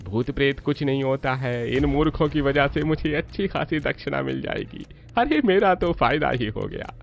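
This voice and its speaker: Creaky-voiced greedy priest, played by a man. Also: medium pitch, expressive, normal speed, slight background noise. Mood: smug, scheming. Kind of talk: cartoon story dialogue. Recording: muffled audio with background music.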